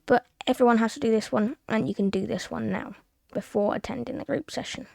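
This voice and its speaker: A woman's voice: a little high, brisk, vocal fry, darkish tone, dry recording, clean studio sound. A woman from the UK with a British accent, presenting a casual tutorial in a plain, step-by-step way. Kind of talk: casual screen-recorded tutorial narration.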